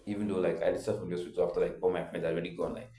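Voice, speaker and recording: A man's voice: low-pitched, little pitch movement, rapid-fire, dark tone, reverberant. A young adult man, telling a personal story in a casual, rambling way full of filler words. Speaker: vlogger.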